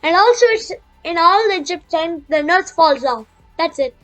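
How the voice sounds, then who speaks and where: A high, female-sounding young reader reading a children's book aloud haltingly, with chatty asides. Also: animated, a bit bright, low-quality recording. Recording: music playing behind.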